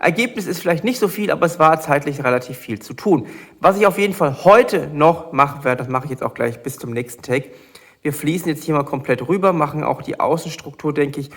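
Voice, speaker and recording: A man's voice: on the high side, brisk. A man with a slight cold, chatting casually while he explains his building steps in a hobby vlog. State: has a cold. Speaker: LEGO builder and channel host.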